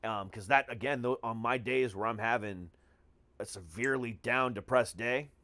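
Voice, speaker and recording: A man's voice: medium pitch, moderate pace, pushed and bright, no room sound. A man hosting a podcast in casual conversation, talking in an emphatic, slangy way with frequent swearing. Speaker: podcast host. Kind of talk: casual chat.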